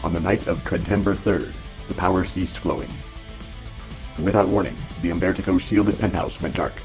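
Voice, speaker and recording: A man giving dramatic, grandiose scripted narration for an adventure serial, with deliberate phrasing. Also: low-pitched, steady tone, music in the background, close to an everyday voice.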